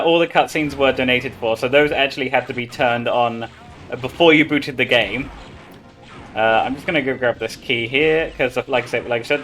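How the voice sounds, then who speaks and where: A man's voice: medium pitch, a bit bright. A man giving casual live commentary as he speedruns a video game. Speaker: speedrunner playing live at a gaming marathon. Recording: game music behind the voice.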